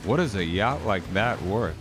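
A male actor voices a reserved, wry retired traveler in an audio drama. His intonation moves widely while his wording stays hesitant. Music is clearly audible behind him. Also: lowish voice, expressive, normal speed, close to an everyday voice. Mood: intrigued. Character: reserved, wry Canadian retiree, island hopping. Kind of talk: naturalistic audio drama acting.

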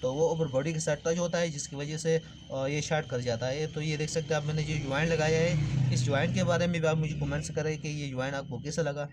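A man's voice: a little high, steady tone, a little fast, hardly any pauses. A man giving an informal hands-on repair tutorial, explaining things step by step and addressing viewers as friends. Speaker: electrical repair tutorial host. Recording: clearly noisy background with clicks.

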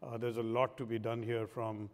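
Man, 40s, from India, earnest, halting, mid pitch, long reverb. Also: little pitch movement. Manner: earnest, hesitant with many fillers. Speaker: pharma executive panelist. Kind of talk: conference panel talk. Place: large conference stage. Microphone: lapel mic.

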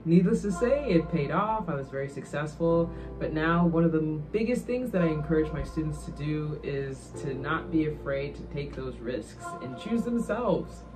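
A woman with a very low voice speaks as a professor sharing a personal testimonial. She sounds around her thirties. Guitar music plays clearly behind her. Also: expressive, normal speed, hardly any pauses. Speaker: communications professor, former news producer.

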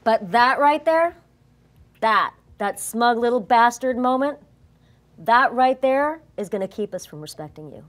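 A woman playing a tabletop roleplaying game, speaking in character in a blunt, firm, measured way. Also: a little high, lively intonation. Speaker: player.

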